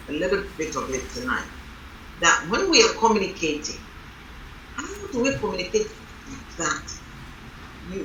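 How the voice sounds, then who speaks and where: A woman reverend leads a panel talk with a loud, strong voice and a bright edge. She tells her stories emphatically. The mic sits far from her in a roomy hall with faint background noise. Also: fairly low pitch, dull audio. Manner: emphatic, storytelling. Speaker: reverend leading a panel on marriage. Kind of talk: panel discussion.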